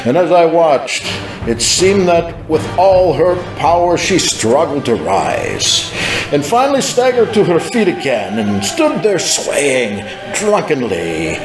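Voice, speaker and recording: A man narrates a religious vision as a solemn dramatized story. His somewhat high voice moves slowly and sweeps up and down in pitch. It echoes, with music clearly audible behind. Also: sing-song.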